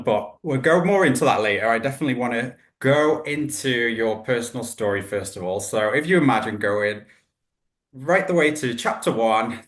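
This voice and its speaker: Male podcast host, mid pitch, upbeat and encouraging, roomy echo on the mic. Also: a bit bright. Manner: upbeat, enthusiastic, uses "delve" and "yeah". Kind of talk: casual interview hosting. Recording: echoey room.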